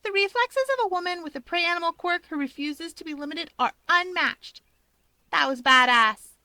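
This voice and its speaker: A woman puts on a cheeky young mouse woman for a storybook reading. Her voice is very high and bright, wordy and playfully dramatic, always teasing. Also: animated, moderate pace, no echo, full character voice. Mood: admiring.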